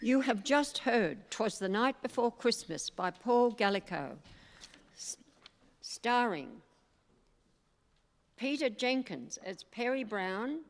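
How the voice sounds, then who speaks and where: A reverberant hall with faint noise carries the voice of a woman announcer. She reads scripted credits slowly and deliberately. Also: on the high side, lively intonation. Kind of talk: reading scripted cast credits.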